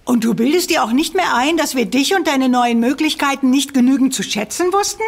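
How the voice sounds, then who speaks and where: A woman voicing a sharp-tongued, sarcastic heroine in an audio drama. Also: high voice, lively intonation, a little fast, vocal fry.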